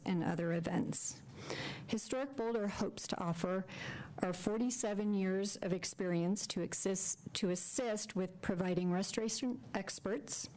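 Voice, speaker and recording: A woman's voice: mid-range voice, darkish tone, rough recording. A woman giving a prepared public comment at a city council meeting, reading steadily from a statement at a podium microphone. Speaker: public commenter, nonprofit director.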